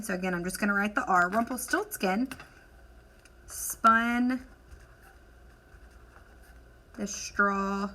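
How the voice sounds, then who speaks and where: A woman teacher leading an instructional lesson for children, asking questions, encouraging answers and dictating deliberately as she writes. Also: mid-range voice, bright, forceful tone, a little hiss or hum. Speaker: elementary school teacher.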